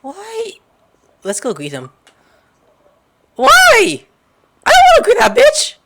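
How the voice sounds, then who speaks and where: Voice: extremely high pitch, huge pitch swings, slow, gravelly, heavy background noise. A female-sounding game streamer giving crude, jokey, casual commentary on a playthrough. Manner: crude, swearing, jokey, dramatic outbursts. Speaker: gaming video host.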